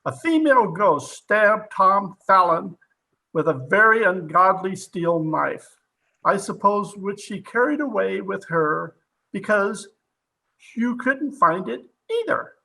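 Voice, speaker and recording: An actor playing a hard-nosed, sarcastic police captain in an old-time radio drama, interrogating forcefully and full of disbelief. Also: male voice, sits high, lively intonation, slow pace, vocal fry, exaggerated voice, poor audio.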